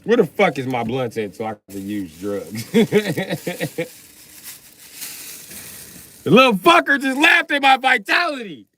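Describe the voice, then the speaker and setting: Middle-aged man, profane, rowdy rant, boastful, bright edgy voice, fairly high pitch. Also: sing-song, slow, long pauses. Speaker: livestreamer. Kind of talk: comedic livestream rant.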